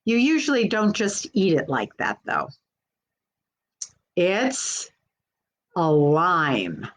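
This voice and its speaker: A woman's voice: on the low side, wildly swooping pitch, slow pace. A woman working as a children's librarian, hosting a live storytime in a simple, encouraging way and speaking directly to young children.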